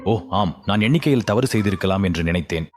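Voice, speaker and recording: Man playing a low-voiced mild village husband. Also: lively intonation, brisk, soft background music. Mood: unconcerned. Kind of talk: children's moral story dialogue.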